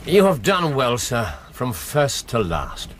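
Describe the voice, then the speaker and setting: An actor playing a brisk, commanding English detective in a radio drama, incisive and theatrical. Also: male voice, mid-range voice, wildly swooping pitch, a little hiss or hum. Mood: courteous. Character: brisk, commanding, keen-minded male detective.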